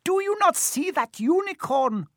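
A noble young centaur, acted out by a man, speaks in a high, lifted voice. His words are formal and emphatic, with a solemn, urgent pride that suits a storybook hero. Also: big pitch swings, moderate pace, no echo, studio quality. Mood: indignant, defiant. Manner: formal, earnest, emphatic speech. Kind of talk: storybook character voice.

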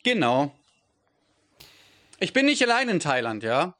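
High-voiced German man in his thirties. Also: big pitch swings, a little slow, bright, forceful tone. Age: 30s. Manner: rambling, self-correcting, frequent fillers. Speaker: guest. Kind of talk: conversational interview.

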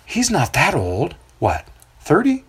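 A skeptical priest voiced by a storybook narrator muses doubtfully to himself. The voice is breathy and lifts high on its questions. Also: huge pitch swings, slow, heavily acted. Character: skeptical scheming priest, inner thoughts.

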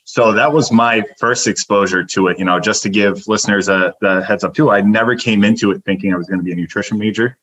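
A man's voice: deep voice, a bit bright. A young man from Turkey whose first language is Turkish, telling his background in detail as a dietitian guest on a podcast. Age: young adult. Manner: earnest, detailed storytelling. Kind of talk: podcast interview.

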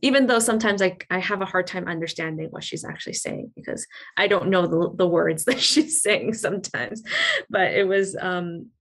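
A woman co-hosting a podcast, talking in a relaxed, thoughtful way with frequent ums and you-knows. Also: on the low side, normal speed. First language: English. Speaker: podcast co-host. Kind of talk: casual interview chat.

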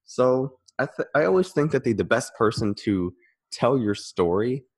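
A man's voice: medium pitch, animated, normal speed, darkish tone, slightly rough. Upbeat male podcast host. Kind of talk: podcast interview hosting.

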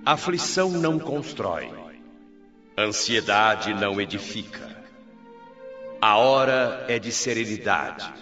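An actor playing a serene spiritual governor who addresses his people in grave, measured oratory, in a radio play. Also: lowish voice, a bit bright, exaggerated voice. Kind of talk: radio drama acting. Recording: dull lo-fi radio play audio.

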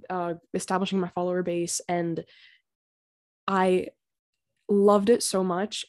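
Young woman chatting on her podcast, voice a bit raspy from being sick, kinda low, earnest. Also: darkish tone. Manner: chatty and earnest, with fillers and small laughs. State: slightly raspy throat from a cold. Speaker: podcast host. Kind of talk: casual solo podcast talk. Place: home.

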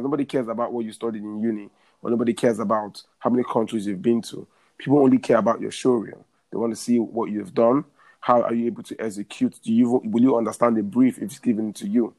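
Interview guest: man, 30s, earnest, repeats himself for emphasis, mid-pitched voice. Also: darkish tone, rough recording. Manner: earnest, repeats phrases while building a point. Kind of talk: career advice interview.

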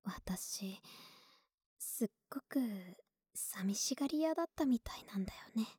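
A woman playing an affectionate, slightly clumsy young neighbor with a big-sister air in an ASMR voice drama, speaking softly and intimately, often in a whisper. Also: fairly high pitch, animated, unhurried, no echo, clean studio sound, exaggerated voice. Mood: tender, confiding. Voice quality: breathy. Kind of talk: Japanese ASMR situation voice drama.

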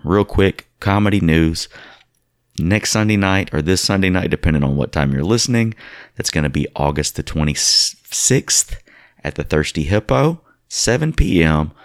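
A very low-voiced man hosts a casual radio show. He rattles off upcoming events in chatty promo patter, and his voice sits close and dry in the studio mic. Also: animated, no echo. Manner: upbeat promo patter, halting when asking questions.

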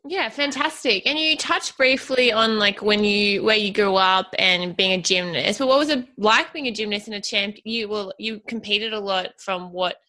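A woman's voice: mid-range voice, moderate pace, few pauses, pushed and bright. A woman hosting an interview show, reading an upbeat intro and asking questions with enthusiasm. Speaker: podcast host.

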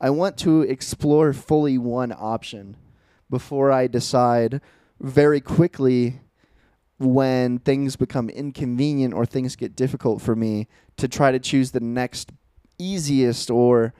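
A young man in his twenties talks through his thoughts in an earnest, reflective way. His voice is dark and smooth, and it sounds dry, with no room echo. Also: medium pitch, fairly even intonation, slow. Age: 20s. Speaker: podcast co-host. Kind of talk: casual chat.

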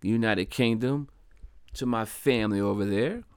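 A man from Delaware hosting his own podcast, talking in a casual, upbeat, chatty way and giving slangy shout-outs to listeners. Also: fairly low pitch, moderate pace, smooth, no echo, studio quality. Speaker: podcast host. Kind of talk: casual solo podcast monologue.

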